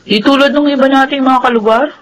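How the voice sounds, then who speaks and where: A woman playing a hardworking, tomboyish young woman in a radio drama, speaking calmly and earnestly. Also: sits high, fast talker, natural voice, poor audio. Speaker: lead character. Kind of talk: Filipino radio drama acting.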